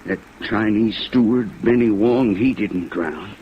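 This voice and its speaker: A man's voice: fairly low pitch, a little slow, lightly acted. An actor playing a frightened, furtive ship's crewman in a 1950s radio drama, folksy and hesitant. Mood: fearful, secretive. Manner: folksy, hesitant, nervous working-class speech. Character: frightened, furtive male ship's crewman, plain-spoken and uneducated. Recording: muffled, noisy vintage radio recording.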